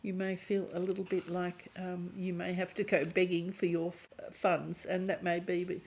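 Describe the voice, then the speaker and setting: Hoarse woman giving a tarot reading. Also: lowish voice, little pitch movement, normal speed. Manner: warm, chatty, keeps clearing her throat. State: hoarse, losing her voice. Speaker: tarot reader. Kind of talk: intuitive tarot reading to camera.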